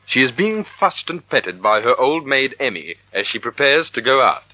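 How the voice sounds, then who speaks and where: Male narrator for vintage radio thriller: declamatory, formal, sharp bright tone, dramatic rises and falls. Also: mid-range voice, very animated, normal speed, no room sound, poor audio. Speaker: announcer and narrator. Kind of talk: 1940s radio announcing, scripted narration. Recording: vintage radio broadcast recording.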